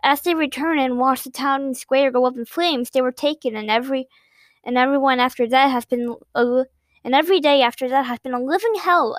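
A woman's voice: sits high, brisk, no room sound, natural voice. A woman voicing a fiery, emotional young woman who speaks with indignation.

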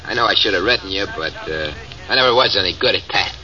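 A brash, scheming gangster. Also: male voice, low-pitched, expressive, quick pace, sharp tone, muffled recording, heavily acted. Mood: swaggering. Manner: brash, swaggering, smug. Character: boastful, scheming small-time gangster man. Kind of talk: 1940s radio acting.

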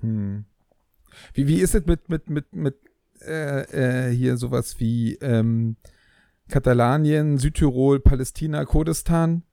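A man's voice: mid-range voice, takes it slowly, gravelly, mellow, no echo, clean studio sound. A man co-hosting a casual podcast chat, joking around with lots of fillers and restarts. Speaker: podcast co-host.

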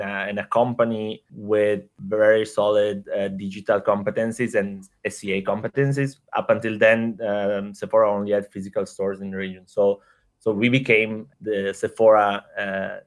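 A man in his thirties from Italy with an Italian accent, talking through his career as an interview guest with many fillers and restarts. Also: on the low side. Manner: hesitant, frequent fillers and repeated words. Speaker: startup executive guest. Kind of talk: casual interview conversation.

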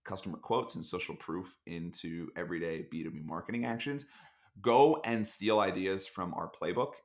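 A man as a podcast guest in casual chat, rambling with dark deadpan jokes over a video call. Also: low voice.

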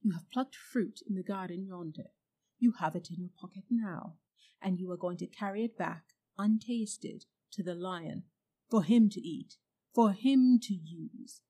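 A woman reading a storybook aloud and voicing a proud, cruel witch who speaks loudly and coaxes the listener. Her voice is dark and husky. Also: mid-range voice, unhurried. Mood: tempting. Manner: loud, commanding and coaxing.

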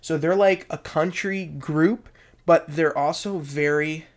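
A man's voice: fairly high pitch, slow pace. A man co-hosting a casual podcast, chatting eagerly and repeating words for emphasis. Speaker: podcast co-host. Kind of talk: casual chat.

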